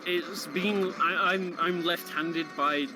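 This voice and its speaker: A man with a slightly high voice provides casual co-commentary on a game. He explains things conversationally while game music plays clearly behind him. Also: steady tone, slow. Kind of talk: casual live gaming commentary.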